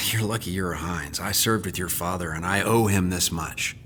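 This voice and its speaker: A man playing a stern older senior army officer, measured and commanding, in an audio drama. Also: sits low, fairly bright tone, a little hiss or hum. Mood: cold, reproachful.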